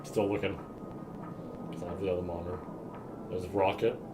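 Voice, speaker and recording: A man's voice: deep voice, little pitch movement, fairly quick, over music. A man casually commenting while he watches a horror video on stream, reacting nervously to what he sees. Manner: jumpy, anxious reactions. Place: room at home with a second monitor.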